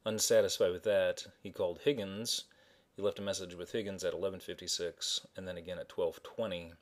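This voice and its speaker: A man's voice: low voice, steady tone, brisk. A man narrating informally as he introduces archived messages, in a hesitant, matter-of-fact way.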